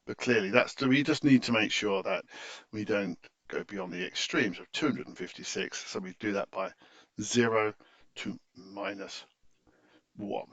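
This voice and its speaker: A man giving an unscripted, methodical screen-share software tutorial in an explanatory, instructive way, on a dull recording. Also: medium pitch, sing-song, a little slow, creaky, no room sound.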